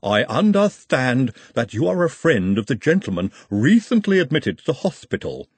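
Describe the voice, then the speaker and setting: A man doing a slow, formal stranger. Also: medium pitch, big pitch swings, darkish tone, slightly rough, dry recording, pitched above the performer's own voice. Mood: grave. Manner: slow, measured and polite.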